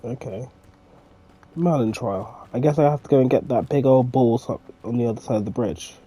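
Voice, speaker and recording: A man with a congested voice from a cold, giving relaxed casual commentary while playing a video game. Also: mid-range voice, moderate pace, slight background noise. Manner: relaxed, talks to himself. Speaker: gaming streamer.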